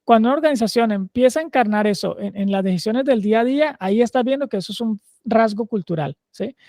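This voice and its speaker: A man's voice: sits high, fast talker, dark tone, dry recording, studio quality. A man with a Caleño Colombian accent, a podcast guest, explaining ideas conversationally and often checking in with his listener. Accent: Caleño, Cali Colombian Spanish. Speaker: guest, agile culture consultant.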